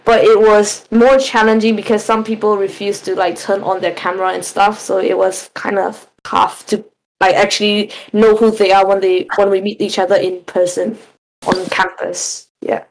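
A woman's voice: medium pitch, little pitch movement, moderate pace, poor audio. A young woman from Myanmar, a student guest on a casual podcast, chatting freely and often saying like. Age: young adult. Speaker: guest, student society vice president.

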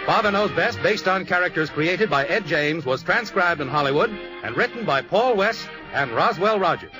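Male show announcer, fairly high voice, polished vintage radio credit reading over clear music. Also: expressive, moderate pace, poor audio. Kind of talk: 1950s radio closing announcement.

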